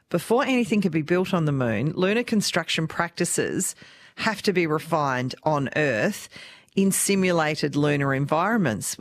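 A radio host, introducing and interviewing a guest in a clear, upbeat presenter manner. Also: medium pitch, dry recording.

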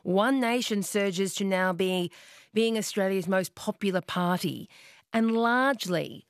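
A woman's voice: mid-range voice, unhurried, dry recording, studio quality. A woman hosting a radio talk show, interviewing a guest in a conversational way with leading questions. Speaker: radio host.

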